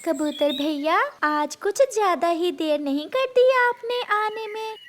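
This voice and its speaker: A woman's voice: very high voice, measured pace, slight background noise, pitched above the performer's own voice. A woman voicing a cheerful, sisterly little sparrow in a children's cartoon. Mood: sweet, eager. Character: cheerful little sparrow, busy homemaking friend.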